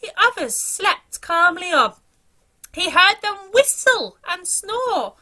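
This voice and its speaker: A woman's voice: extremely high pitch, big pitch swings, slow pace, pushed and bright. A woman reading a children's story aloud in a dramatic, theatrical storytelling manner.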